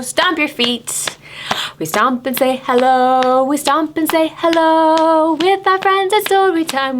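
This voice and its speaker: Slow, high-voiced woman reading to preschoolers. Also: slight background noise. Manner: animated, exaggerated, asking the children questions. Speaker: children's librarian, storytime host. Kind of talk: children's picture-book read-aloud and singalong.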